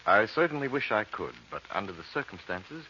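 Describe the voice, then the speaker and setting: Low, piercing, condescending loan officer. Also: male voice, big pitch swings, bright, forceful tone. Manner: smooth, deliberate, formal. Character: cool, condescending loan officer turning down a borrower. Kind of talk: 1940s radio drama acting. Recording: dull, hissy old radio recording.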